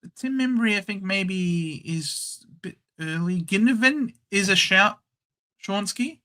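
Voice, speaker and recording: A man's voice: high-pitched, expressive, a little slow, sharp tone. An Australian man hosting a casual livestream, chatting, thinking aloud and reading out viewers' names. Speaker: livestream host.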